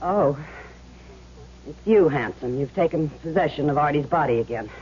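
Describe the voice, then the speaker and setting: An actress playing a gangster's worried, wary sweetheart in an old radio drama, on a muffled recording with some noise. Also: female voice, low-pitched, animated, normal speed, darkish tone.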